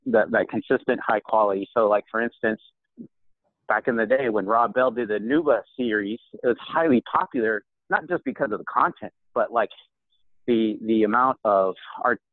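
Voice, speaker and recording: A man's voice: lowish voice, moderate pace, no echo. A man makes his point earnestly and with emphasis. His voice comes through a narrow, phone-like line with no room sound at all. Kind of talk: casual video call meeting. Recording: tinny phone-like call audio.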